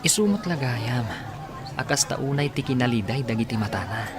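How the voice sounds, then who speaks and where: A man playing a sympathetic, inquisitive young businessman in a radio drama, speaking in a gentle, questioning manner. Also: medium pitch, expressive, normal speed, crowd noise, lightly acted. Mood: concerned.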